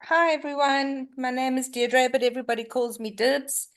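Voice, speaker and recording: Lady, bright cheery voice, a bit high. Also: normal speed. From South Africa. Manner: cheerful, excited. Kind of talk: casual video call.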